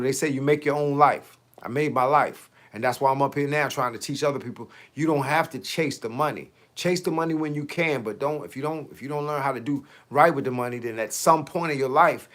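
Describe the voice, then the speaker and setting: A man's voice: mid-range voice, a bit bright. Talkative fifty-something man on a livestream. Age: 50s. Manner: talkative, slangy, rhetorical questions. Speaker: fitness coach and livestream host. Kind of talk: casual livestream chat reading comments.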